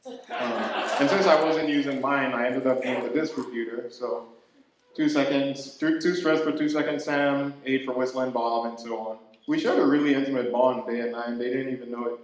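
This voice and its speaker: Young stand-up comic, dry and halting, mid-pitched, very echoey room with faint hiss. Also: male voice, fairly even intonation. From Ghana. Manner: dry, halting delivery with many fillers and restarts. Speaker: stand-up comedian. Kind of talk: stand-up set. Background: audience laughter.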